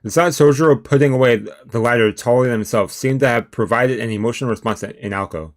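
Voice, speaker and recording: A man's voice: mid-range voice, fast talker. A man streaming a let's play, reading the story aloud and dropping in casual, slangy asides. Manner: informal, slangy, reacts in asides. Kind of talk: reading aloud a visual novel with casual commentary.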